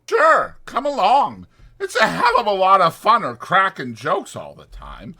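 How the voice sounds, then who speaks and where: A gossipy young ranch hand as performed by a male reader, pitched high and swooping up and down. He talks in folksy, ungrammatical slang, lively and eager to share, in a dramatized storybook style with a bright, forceful edge. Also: measured pace, heavily acted. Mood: enthusiastic.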